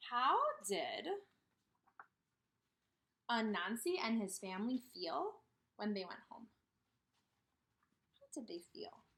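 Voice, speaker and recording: Expressive woman teaching young children. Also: on the high side, vocal fry. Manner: questioning, pausing for children to answer; simple, deliberate, repetitive phrasing for small children. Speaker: kindergarten teacher. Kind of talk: read-aloud lesson for young children.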